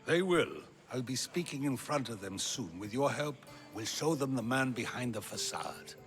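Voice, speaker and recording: An actor playing a wise, wry ancient philosopher in a video game, with a measured, questioning, rhetorical delivery. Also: male voice, mid-range voice, expressive, fairly bright tone. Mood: calm, resolute. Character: Socrates, wise wry ancient Greek philosopher, persuasive orator. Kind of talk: theatrical video game dialogue. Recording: music behind the voice.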